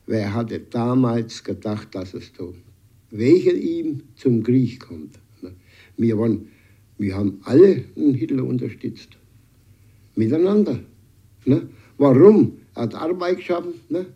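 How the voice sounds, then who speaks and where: Dull interview tape, older man, husky and gravelly, halting emphatic recollection, tag questions. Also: medium pitch, expressive, mellow. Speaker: eyewitness interviewee. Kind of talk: spontaneous spoken recollection.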